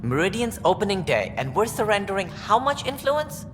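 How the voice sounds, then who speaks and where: An actor playing a stern, self-important alien politician in a video game, formal and argumentative. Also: male voice, high-pitched, big pitch swings, normal speed, over music. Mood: indignant. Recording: noisy, echoing hall, ambient sound.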